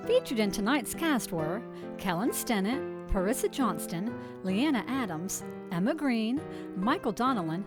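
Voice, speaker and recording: A woman announcer reading scripted closing credits for a radio drama. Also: medium pitch. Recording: music and chimes under the voice.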